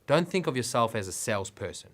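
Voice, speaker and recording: A man's voice: mid-range voice, animated, fast, fairly bright tone. A man reading scripted business advice narration in an instructive way with emphatic phrasing.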